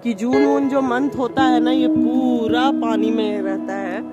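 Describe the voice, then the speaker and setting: A woman's voice: on the high side, steady tone, measured pace, lo-fi sound, loud music behind the voice. A woman in her forties chatting casually through a travel vlog, switching between Hindi and English, filmed on a phone held at arm's length outdoors. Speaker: vlogger. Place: outdoors by a river and inside a car. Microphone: phone camera at arm's length.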